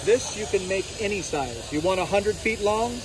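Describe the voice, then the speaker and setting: A man's voice: sits high, animated, normal speed, noisy background. A man exhibitor demonstrating welding machines, explaining each step confidently. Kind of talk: product demonstration. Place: busy trade show hall. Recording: crowded hall chatter.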